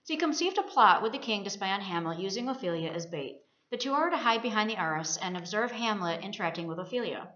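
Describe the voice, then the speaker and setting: Female college student presenting a scripted literary discussion at a brisk pace, roomy lo-fi sound. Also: mid-range voice, lively intonation, a bit bright. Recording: roomy, muffled lo-fi recording.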